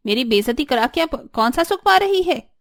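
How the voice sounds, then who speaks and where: A woman's voice: high voice, animated, normal speed, no echo. Woman voicing a haughty scolding mother-in-law, cartoon drama. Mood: indignant, scolding. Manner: haranguing, indignant, rhetorical questions. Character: arrogant scolding older mother-in-law, sharp-tempered, status-obsessed. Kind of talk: Hindi cartoon story voice acting.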